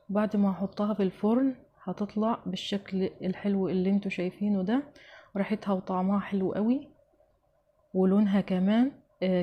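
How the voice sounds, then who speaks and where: A woman's voice: medium pitch, dull audio. A woman with an Egyptian accent hosting a home cooking show, chatting familiarly to viewers as she narrates a recipe step by step.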